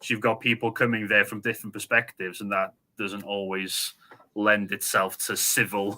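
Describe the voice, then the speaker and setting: A man from North Wales with a North Welsh accent, a native Welsh speaker, explaining history at a measured pace as a podcast guest. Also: fairly low pitch, little pitch movement, sharp tone. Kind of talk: casual podcast conversation.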